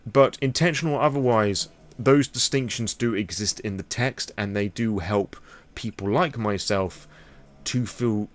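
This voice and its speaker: Low-voiced British man, chatty vlogger. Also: unhurried. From Britain. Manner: wordy, conversational, frank with crude asides. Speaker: host of a lore channel. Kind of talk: impromptu vlog monologue, casual and explanatory.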